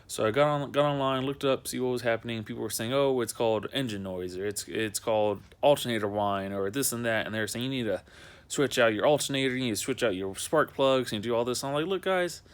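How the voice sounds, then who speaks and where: Young man, mid-pitched voice, unscripted how-to talk, conversational, wandering and repeating himself. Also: quick pace, clean tone. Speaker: amateur video maker showing a car project. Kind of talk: casual unscripted how-to vlog.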